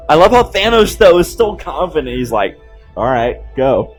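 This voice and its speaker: A man giving excited, casual film commentary in slangy, exclamatory bursts as a host. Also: a little high, moderate pace. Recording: music playing behind the voice.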